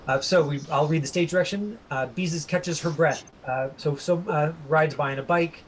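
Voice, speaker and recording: A man with a husky voice working as an acting coach, giving an informal online lesson in a halting, rambling way. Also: fairly high pitch, a little hiss or hum, rough recording. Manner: halting, rambling explanations full of tangents. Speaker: acting coach teaching a class.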